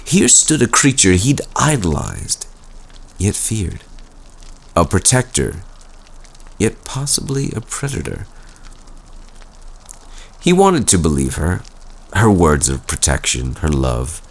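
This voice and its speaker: Young male campfire storyteller, played by a man: very low voice, sweeping intonation, suspenseful horror delivery for audio roleplay. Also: huge pitch swings, creaky, full character voice. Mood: dark, dramatic. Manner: slow, dramatic, suspenseful storytelling. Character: young male student Luke telling a spooky campfire vampire tale.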